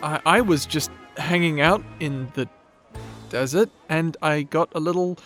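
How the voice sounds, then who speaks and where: A man's voice: a little high, unhurried, a bit bright, soft background music. A man playing a shy, nerdy young wizard in a fantasy audio roleplay, awkward, apologetic and polite, stammering through his words. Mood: flustered, apologetic.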